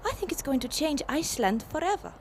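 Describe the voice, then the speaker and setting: An actress playing a warm, resolute women's strike activist of the 1970s in a radio drama, speaking with earnest emphasis. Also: female voice, sits high, very animated, fast talker, a little hiss or hum. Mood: hopeful.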